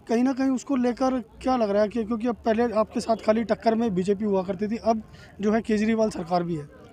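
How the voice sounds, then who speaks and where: A man's voice: sits high, warm tone. A man working as a news reporter, introducing a candidate and pressing him with leading questions in a field interview.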